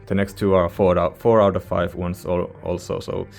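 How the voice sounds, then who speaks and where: Male reviewer, non-native English speaker: low-pitched, very even intonation, informal and halting, rambling casually. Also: gravelly, faint music behind. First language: not English. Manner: hesitant, informal, searching for words. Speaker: music reviewer, channel host.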